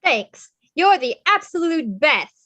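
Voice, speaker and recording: Woman playing an enthusiastic, bright, high-voiced skeleton leader. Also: big pitch swings, slow, full character voice. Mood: pleased. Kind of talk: live amateur table read of a film script.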